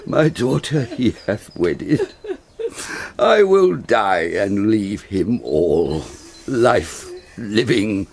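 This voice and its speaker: A man's voice: fairly low pitch, very animated, creaky, slightly rough, full character voice. A British man playing a grief-stricken ageing nobleman and father in a classical Shakespearean radio drama, lamenting in heavy declamation. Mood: grieving. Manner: slow, heavily declaimed lamenting.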